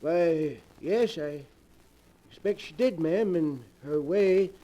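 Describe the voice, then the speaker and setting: An actor playing a deferential, hesitant household servant with folksy speech in a 1940s radio drama. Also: male voice, fairly high pitch, slow, dark tone, highs cut off. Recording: noisy vintage 1940s radio recording.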